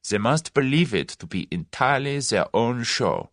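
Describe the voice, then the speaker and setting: A man voicing a German conspirator who speaks clearly and deliberately. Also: medium pitch, very animated, a bit bright, dry recording, studio quality, voice pushed up. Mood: businesslike. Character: German male conspirator, clipped and businesslike.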